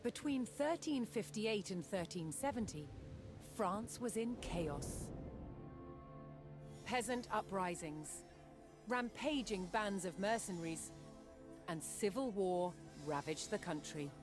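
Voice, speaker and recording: Female documentary narrator, mid-pitched, measured and dramatic, deliberate pauses, scripted history read over prominent music. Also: lively intonation.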